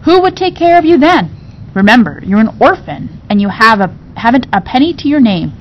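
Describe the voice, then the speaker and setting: A woman's voice: sing-song, brisk. A woman reading a storybook, voicing a female servant who reproaches and advises a child. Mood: kindly admonishing. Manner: admonishing. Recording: dull recording with low rumbling noise.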